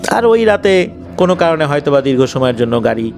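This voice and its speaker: A Bangladeshi man tells horror stories in a fairly high, lively voice that moves up and down a lot. He speaks conversationally, circling back to explain details and build suspense. Faint music plays behind him. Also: fairly quick. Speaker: horror story narrator. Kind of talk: conversational spoken storytelling of paranormal incidents. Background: faint background music.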